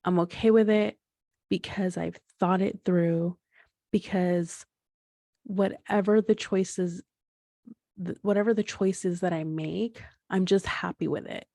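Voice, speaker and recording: A woman's voice: lowish voice, steady tone, slow pace, gravelly, dark tone, no room sound, clean studio sound. A woman in her thirties hosting a solo podcast, talking things through in a rambling, reflective way with frequent restarts and fillers. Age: 30s. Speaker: podcast host.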